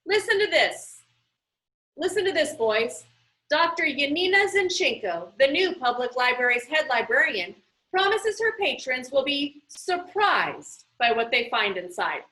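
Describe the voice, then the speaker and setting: A woman reading the part of a busy mother in a storybook, talking briskly and casually to her sons. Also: high-pitched, sing-song, sharp tone, reverberant, exaggerated voice, rough recording. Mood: interested.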